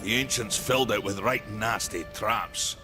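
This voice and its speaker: An actor playing a weary old male warrior who guards a gate, gruff, rustic and wry, with game music behind him. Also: fairly low pitch, measured pace, lightly acted. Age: older.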